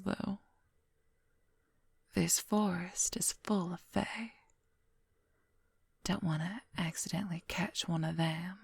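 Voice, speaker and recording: A woman voicing an affectionate, teasing werewolf lover in a soft, intimate ASMR whisper, speaking gently and playfully into a close microphone. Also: lowish voice, creaky, breathy edge, dry recording, heavily acted. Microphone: close microphone, dry.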